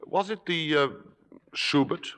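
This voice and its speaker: An actor playing a suave, calculating theater columnist in a vintage radio drama, with urbane, formal, deliberate phrasing. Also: male voice, on the high side, a bit bright. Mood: suave probing. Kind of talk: 1950s radio drama acting with first-person narration. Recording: vintage radio broadcast with music.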